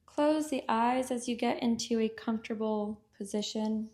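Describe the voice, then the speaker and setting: A woman guiding a body scan meditation with gentle, unhurried instructions and long pauses. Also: fairly high pitch. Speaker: meditation guide.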